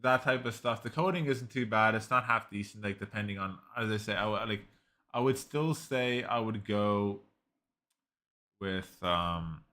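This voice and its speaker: A man's voice: on the low side, a little slow, sharp tone. A man casually reviewing an AI tool, rambling with lots of "like" and restarts. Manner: rambling, heavy use of "like", frequent restarts. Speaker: tech reviewer. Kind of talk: casual screen-recorded software review.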